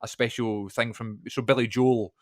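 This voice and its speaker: A man co-hosting a podcast, telling an excited story in casual chat. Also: mid-range voice, no room sound, clean studio sound. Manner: fast, animated storytelling. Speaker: podcast co-host.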